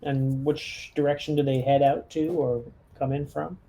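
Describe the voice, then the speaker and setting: A man's voice: mid-range voice, little pitch movement, moderate pace, warm tone, poor audio. A man chatting casually as a player in a tabletop roleplaying game. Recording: faint noise, keyboard clicks.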